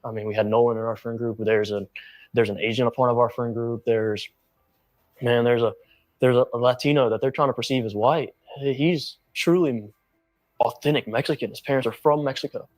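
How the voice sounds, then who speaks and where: A young adult man giving an emotional interview account in an earnest, halting way. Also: medium pitch, steady tone, dry recording, soft background music, low-quality recording.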